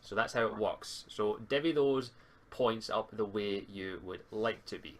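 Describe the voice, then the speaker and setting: A man's voice: lowish voice, unhurried. Man hosting a tabletop roleplaying stream. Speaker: game master and stream host. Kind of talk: explaining tabletop game rules to players on a live stream. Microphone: voice call on a live stream.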